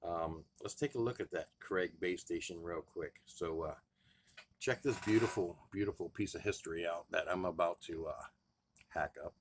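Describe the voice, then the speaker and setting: Casting: man with a lowish voice, laid-back hobbyist who chats through a hands-on radio project. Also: moderate pace, a little hiss or hum. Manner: relaxed and chatty, thinking aloud while tinkering. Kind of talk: casual how-to vlog.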